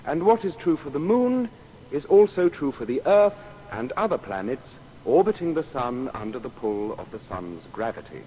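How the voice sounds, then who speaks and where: An actor playing a natural philosopher, explaining in a measured way in a dramatised audio biography. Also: male voice, medium pitch, sing-song, mellow, natural voice. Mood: earnest. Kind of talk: dramatised scientific quotation. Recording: long hall reverb, faint noise.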